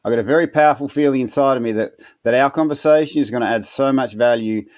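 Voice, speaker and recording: An earnest Australian man in his fifties tells his story as a podcast guest, circling back and repeating words as he restarts. His voice sits in a middle pitch and is recorded dry and close, with no room sound. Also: quick pace, a little mellow, dry recording. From South Australia. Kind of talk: storytelling interview answers.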